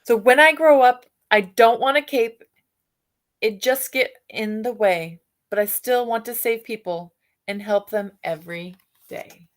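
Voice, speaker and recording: A woman reading a picture book aloud to children, expressive, with soft musing questions for young listeners. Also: fairly high pitch, measured pace. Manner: expressive reading, alternating with softer musing asides and hums. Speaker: read-aloud storyteller for children.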